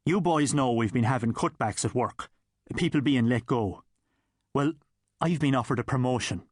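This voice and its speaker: Audiobook father, read by a man: steady, kindly, measured, mid-pitched, with a dry sound. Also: slightly rough, no room sound, close to an everyday voice. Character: kindly, steady working father breaking news gently.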